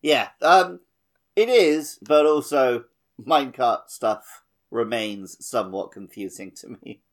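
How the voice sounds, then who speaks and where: A man's voice: medium pitch, slow pace. A man co-hosting a podcast, chatting casually about a video game with wry, dry humour. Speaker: podcast co-host.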